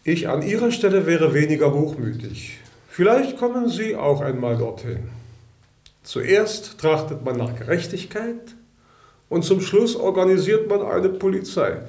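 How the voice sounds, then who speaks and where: A man's voice: sits high, lively intonation, a little slow, darkish tone. An actor playing a smug, insinuating male Tsarist police chief in a German radio play, condescending and theatrical, in an echoey room. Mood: insinuating, superior.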